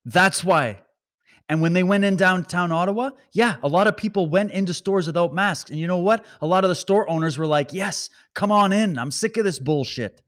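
A man hosting a political commentary stream, talking straight to his viewers with animated sarcasm. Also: high-pitched. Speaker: commentary host.

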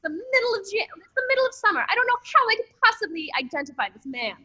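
A very high, bright voice for a ditzy, brazen young lady sleuth. She swoops around with blithe, chatty eagerness in a comic radio play. Music plays behind her in slightly muffled audio. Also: sing-song, quick pace, exaggerated voice. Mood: flustered, oblivious. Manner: breezy, chatty, blithely unconcerned. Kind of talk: comic old-time radio play acting.

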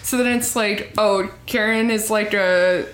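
Young woman with vocal fry, roomy echo. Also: mid-range voice, fairly even intonation, measured pace, a bit bright, a little hiss or hum. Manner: chatty, lots of fillers. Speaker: podcast co-host. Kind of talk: casual chat.

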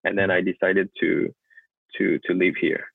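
A young French man with a French accent, a photographer telling his story in a casual interview, often halting and repeating words as he searches for English. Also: low-pitched, monotone, creaky, slightly rough, no echo. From France. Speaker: guest photographer. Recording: tinny call audio, dry.

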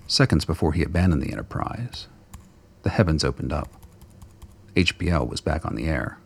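A man narrating a radio play in a scripted, dramatic storytelling style with a gravelly, husky voice. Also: rumbling low, expressive, normal speed.

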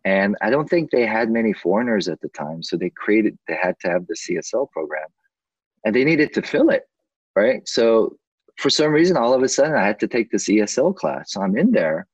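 A man from the United States chatting casually and telling personal stories in a husky, animated, joking way. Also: fairly low pitch, brisk, no room sound, dull audio. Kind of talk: casual chat, personal storytelling.

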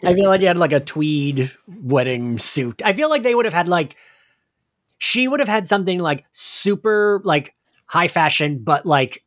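A man's voice: sits high, lively intonation, moderate pace, a bit bright. A man co-hosting a podcast, talking casually as a fan and thinking aloud in hesitant bursts. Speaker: podcast co-host.

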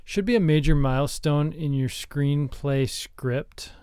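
A man co-hosting a podcast, talking about screenwriting in an earnest, halting, deadpan comedic way. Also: fairly high pitch, clean tone, dry recording, clean studio sound. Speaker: podcast co-host.

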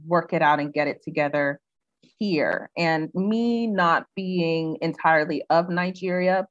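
A woman's voice: low voice, no room sound, muffled recording. A young woman raised in the United States, speaking reflectively as a guest in a casual interview, with many "um"s and "you know"s.

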